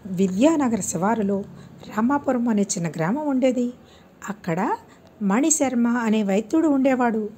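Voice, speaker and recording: A woman reading a scripted moral story aloud as an audiobook storyteller, in an expressive, measured way. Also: fairly high pitch, breathy edge.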